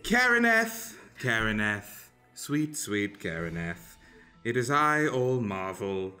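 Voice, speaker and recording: A man's voice: mid-range voice, sing-song, slow pace, sharp tone, faint music behind, exaggerated voice. A man playing a bookish, easily astonished nobleman scholar in an improvised comedy radio play, incredulous and declamatory. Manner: incredulous, declamatory when reading.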